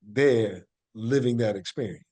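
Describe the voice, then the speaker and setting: A man speaking as an interview guest, telling stories conversationally in deliberate, emphatic short phrases. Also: on the low side, a little mellow. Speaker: podcast guest, actor and playwright.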